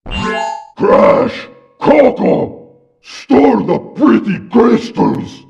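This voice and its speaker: A man voicing a big dim-witted brute of a video game minion, with gravelly, shouty, broken simple-minded speech. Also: very high voice, expressive, drawn-out words, exaggerated voice. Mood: menacing, bellowing. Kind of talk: video game cutscene voice acting. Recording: dull lo-fi game audio with music.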